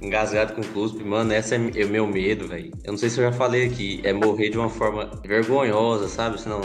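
A laid-back man with a Goiás accent rambles through a joking chat in a fairly low voice. His voice bounces around a roomy, echoey space, with faint music under it. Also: steady tone, a little fast. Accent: Goiás Brazilian Portuguese. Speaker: podcast co-host.

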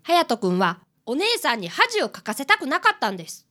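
Woman voicing bright, high-pitched earnest schoolboy detective. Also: very animated, fairly quick, heavily acted. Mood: earnest, serious. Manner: polite, formal reporting. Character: earnest fifth-grade boy, apprentice detective. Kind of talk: radio drama acting.

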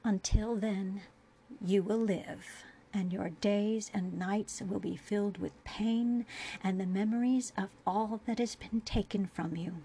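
A woman's voice: medium pitch, normal speed, close to an everyday voice. A woman voicing a cruel female alien interrogator in a dramatic story reading, accusing and full of contempt. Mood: cold menace.

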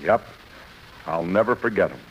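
An actor playing a steady, loyal, plain-spoken older man in a 1950s radio drama, with a solemn manner. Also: low voice, normal speed. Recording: dull vintage radio broadcast, music behind.

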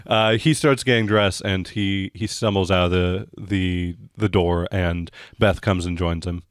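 A man acting as game master, improvising narration for a tabletop game in a conversational, halting way. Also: low voice, fairly even intonation, no room sound, studio quality.

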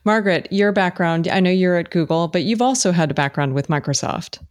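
A woman's voice: on the low side, a little fast, no room sound, studio quality. A woman co-hosting a tech podcast, chatting casually and upbeat as she introduces segments and guests. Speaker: podcast co-host.

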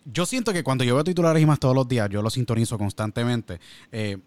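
A man's voice: mid-range voice, fast, darkish tone, no room sound. Puerto rican guy interviewing, mid voice, friendly and rambly, dry close sound. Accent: Puerto Rican Spanish. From Puerto Rico. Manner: friendly, rambling, mixes in English words. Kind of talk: casual interview chat.

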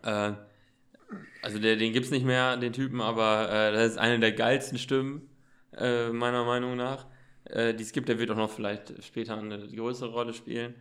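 A man's voice: mid-range voice, flat delivery, moderate pace, a bit bright. A young man hosting a casual podcast chat. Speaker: podcast host.

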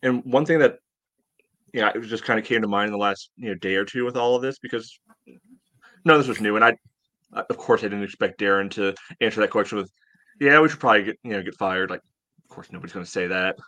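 A man co-hosting a sports podcast, chatting casually and thinking aloud in a halting, conversational way. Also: lowish voice, pushed and bright. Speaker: podcast host.

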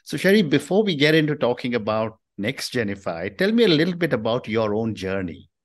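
A man hosting an interview podcast, introducing a guest and asking questions. He sounds measured and affirming, often murmuring agreement as he listens. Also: mid-range voice, big pitch swings. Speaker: host.

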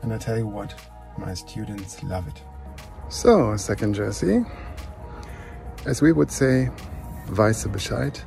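A man's voice: fairly low pitch, measured pace, vocal fry, pauses often, music in the background. A man from Germany with a German accent, presenting his driving school car in a self-recorded video message. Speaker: video contributor, driving instructor.

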